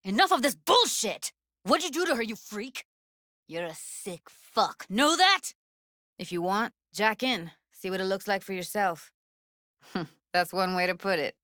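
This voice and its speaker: A woman's voice: mid-range voice, animated, unhurried, fairly bright tone, dry recording, studio quality. A woman voice-acting a tough, blunt but tender-hearted young techie in a video game, with clipped, slangy, sweary phrasing. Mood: angry, distressed.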